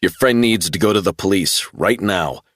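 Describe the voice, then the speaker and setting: A man voicing a steady, matter-of-fact man who talks a grieving friend through a crisis. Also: sits low, normal speed, no room sound, clean studio sound. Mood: calm concerned. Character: steady, blunt adult man, concerned friend.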